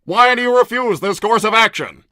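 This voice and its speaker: A war-hungry cartoon robot states its plans in a high, piercing voice. It is stiff and formal, coldly deliberate and free of emotion. The recording is dry and close. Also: male voice, bright, forceful tone, clear, resonant tone, dry recording. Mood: coldly warlike. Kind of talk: improvised in-character conversation.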